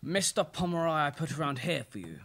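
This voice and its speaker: A woman's voice: low-pitched, sing-song, brisk, full character voice. A woman acting a deferential, formal male butler in an amateur radio play, repeating himself obligingly. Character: James, deferential elderly male English butler.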